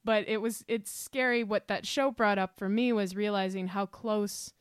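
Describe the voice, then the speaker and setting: A woman's voice: mid-range voice, fairly even intonation, moderate pace, smooth, no echo, clean studio sound. A woman co-hosting a casual podcast, speaking personally in a reflective, earnest way. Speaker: podcast co-host.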